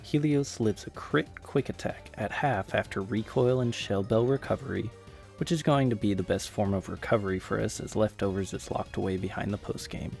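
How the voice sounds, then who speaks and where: A man's voice: lowish voice, normal speed, vocal fry, a little mellow, faint music behind. A man narrating scripted gameplay commentary in a casual, wry, self-deprecating way. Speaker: gaming video creator and narrator.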